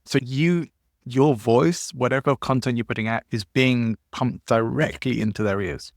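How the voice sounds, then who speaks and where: A man co-hosting a podcast, with a husky voice and a measured, thoughtful way of talking in casual chat. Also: medium pitch, no echo, clean studio sound. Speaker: podcast co-host.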